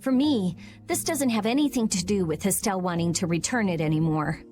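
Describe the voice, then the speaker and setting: A woman's voice: medium pitch, fairly quick, over music, close to an everyday voice. A woman voicing a prickly teenage girl mage in video game dialogue, curt and blunt with a caring side. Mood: conflicted.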